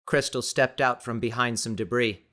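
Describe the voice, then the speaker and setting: A man reading dramatic scripted audiobook narration in a measured, suspenseful manner. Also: medium pitch, pushed and bright.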